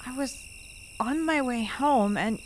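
A woman's voice: sits high, takes it slowly, slight background noise. An actress playing a feisty, disoriented woman in pain in an audio drama. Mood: confused. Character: feisty, confused woman hurt after a fall. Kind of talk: audio fiction acting.

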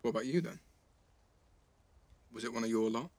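A man's voice: medium pitch, normal speed, darkish tone, no echo, close to an everyday voice. An actor playing a cheeky, blunt teenage boy with an English accent, teasing and slangy in a naturalistic audio drama. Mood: wry, probing.

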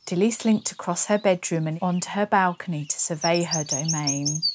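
A woman's voice: on the low side, a little mellow. A woman giving a scripted narration of a comic period romance.

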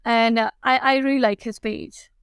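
A woman's voice: fairly high pitch, steady tone, moderate pace, vocal fry, smooth, no room sound, studio quality. A woman in her thirties from Pakistan with a Pakistani accent, answering interview questions casually with many fillers and restarts. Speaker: guest, food blogger.

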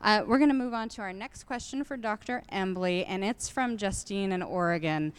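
A woman's voice: mid-range voice, moderate pace, clean tone. A woman moderating a live panel, reading out audience questions.

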